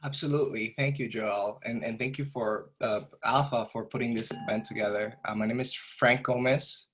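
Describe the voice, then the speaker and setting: A man's voice: mid-range voice, steady tone, normal speed, a bit bright. Hesitant man introducing himself on a panel. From Dominican Republic. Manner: hesitant, many fillers and restarts. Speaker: clinic program director. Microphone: video call.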